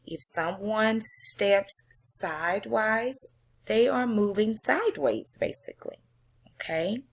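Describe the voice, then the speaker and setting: A woman's voice: medium pitch, expressive. A woman teacher explaining a poem to her students, often checking in with "okay?" and "right?". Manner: reads the poem slowly with drawn-out emphasis. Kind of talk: poetry reading and classroom lesson.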